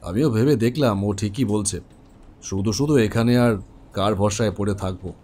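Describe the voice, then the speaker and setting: An actor voicing an elderly, resigned widower in a horror cartoon, gently reflective. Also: male voice, on the low side, moderate pace, slight background noise, natural voice. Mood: wistful. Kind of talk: Bengali horror cartoon dialogue.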